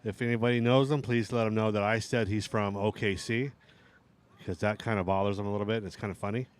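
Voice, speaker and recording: A middle-aged man with a hoarse voice, casually commentating a live outdoor RC drag race. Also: fairly low pitch, steady tone, quick pace. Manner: casual, rambling banter with restarts.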